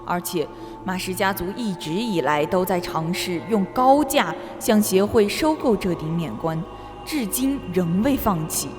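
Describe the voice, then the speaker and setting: A woman's voice: mid-range voice, lively intonation, measured pace, lightly acted. Earnest, disapproving female scholar played by an actress, mid-range, formal radio drama delivery in a reverberant hall. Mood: earnest, confiding. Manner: formal, expository. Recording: long hall reverb over music.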